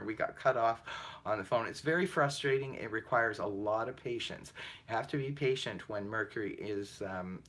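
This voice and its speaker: A man hosting a casual astrology livestream with a deep voice, chatting loosely with many fillers, laughing often and answering viewer comments. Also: sharp tone. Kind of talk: casual live chat reading viewer comments.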